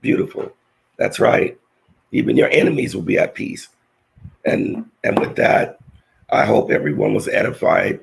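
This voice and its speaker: Male Bible teacher, low, hoarse and gravelly, earnest slow verse reading. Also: huge pitch swings, noisy background. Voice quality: raspy, gravelly. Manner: slow, earnest scripture reading with halting restarts. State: hoarse, voice nearly lost. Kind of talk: scripture-reading lesson.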